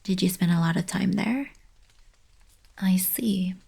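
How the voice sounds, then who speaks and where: Woman acting soft, husky, slow-talking young alien princess. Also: fairly low pitch, exaggerated voice. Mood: thoughtful explaining. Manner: soft, breathy, casual teasing delivery. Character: playful, teasing young alien princess, fond college girlfriend. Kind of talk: intimate ASMR roleplay, scripted monologue to the listener.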